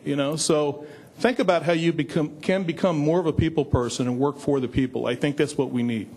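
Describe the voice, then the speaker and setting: A man's voice: a little high, steady tone, a little fast, a little mellow, breathy edge. A middle-aged man a little under the weather gives a blunt public comment at a school board meeting, restarting phrases as he presses his point, speaking into a stand mic in a large meeting chamber. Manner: direct, admonishing, with frequent restarts. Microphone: desk stand mic in front of seated speaker.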